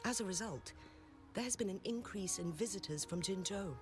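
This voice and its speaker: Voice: medium pitch, moderate pace, music in the background, close to an everyday voice. An actor voicing a polite, formal town official in a game, thanking and advising a visitor. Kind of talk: game voice acting.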